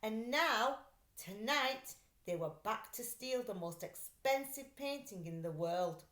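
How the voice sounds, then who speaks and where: A woman reading a story aloud for children in an expressive, deliberate, dramatic way. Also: on the high side. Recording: roomy echo.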